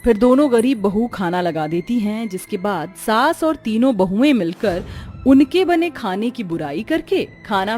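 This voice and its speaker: A woman's voice: medium pitch, a little mellow. A woman reading scripted story narration as the narrator. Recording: music under the voice. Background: background music.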